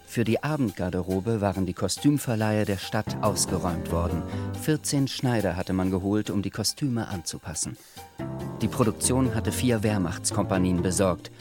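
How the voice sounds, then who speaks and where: Low-pitched male radio drama narrator. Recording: studio voice over music.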